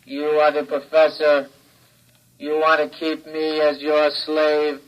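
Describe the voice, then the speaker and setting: Menacing childlike radio-drama robot, very slow delivery, filtered dull sound, docile yet cold. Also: male voice, on the high side. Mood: cold, resolute. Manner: slow, flat monotone with simple words. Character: childlike, obedient, menacing robot that calls people evil. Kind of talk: old-time radio drama acting. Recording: dull, band-limited old radio recording.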